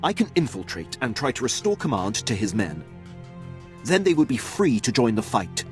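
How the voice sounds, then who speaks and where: A man playing a dutiful, precise Imperial officer in a video game, with a formal, crisp, deferential manner. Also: medium pitch, big pitch swings, normal speed, darkish tone, faint music behind, lightly acted. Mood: earnest, urgent. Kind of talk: scripted video game dialogue acting.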